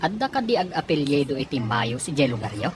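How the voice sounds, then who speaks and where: A woman's voice: a bass voice, very animated, a little fast. A woman playing a probing man on a search in an audio drama, with a noisy background. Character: probing man searching for someone, offering money. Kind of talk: amateur audio drama acting. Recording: noisy, with ambient background sound.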